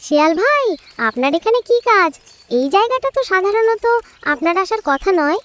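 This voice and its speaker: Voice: very high-pitched, big pitch swings, moderate pace, fairly bright tone, heavily acted. A performer voicing a small, friendly young bird in a children's cartoon, chirpy, earnest and curious. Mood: wary. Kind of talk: children's cartoon story dialogue.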